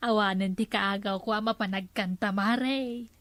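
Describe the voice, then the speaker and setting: A woman's voice: mid-range voice, unhurried, a bit bright, no room sound, close to an everyday voice. A woman playing a gleeful village gossip and rival singer in an Ilocano comedy drama, with an Ilocano accent.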